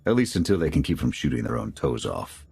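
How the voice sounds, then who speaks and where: A man playing a blunt, gruff military squad commander in a video game. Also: very deep voice, sing-song, fast talker, darkish tone, exaggerated voice. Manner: gruff, blunt soldier talk.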